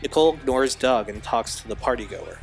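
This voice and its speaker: A man's voice: mid-range voice, a little fast, faint music behind, close to an everyday voice, rough recording. A man narrating an audio drama, reading scene directions as scripted narration. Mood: neutral.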